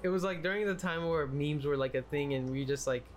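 A man's voice: a little high, brisk. A young man hosting a casual podcast chat with a friend, swearing freely and laughing often. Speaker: podcast host.

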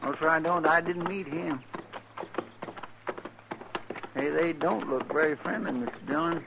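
A man's voice: on the high side, fairly quick, vocal fry. A man playing a marshal's loyal, uneasy sidekick in an old-time radio western, folksy and deferential with a rural American twang. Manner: deferential, folksy, a little nervous. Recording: very noisy, dull old radio recording.

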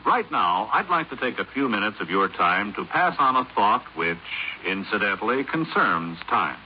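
A man's voice: fairly low pitch, very animated, normal speed, soft background music. An American man reading a scripted 1950s radio public service announcement in a polished, explanatory way. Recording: muffled, hissy 1950s radio recording.

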